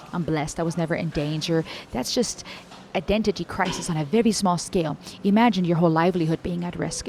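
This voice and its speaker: A young woman with an American English accent speaks to her viewers in a lowish voice, reflective and earnest as she reacts to a song. A faint room hum sits beneath her. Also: darkish tone. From Germany and the US, Cuban-American family. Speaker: music reaction YouTuber. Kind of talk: reaction commentary.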